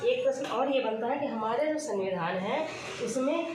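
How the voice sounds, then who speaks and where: A woman's voice: on the high side, normal speed. A woman teaching a grammar lesson, asking questions and answering them herself, repeating the key points. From India. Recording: echoey room, far from the mic, steady noise.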